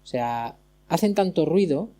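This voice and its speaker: A man's voice: fairly high pitch, animated, unhurried, warm tone. A man speaking on an illustration panel, joking and stumbling over words now and then. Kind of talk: casual panel discussion.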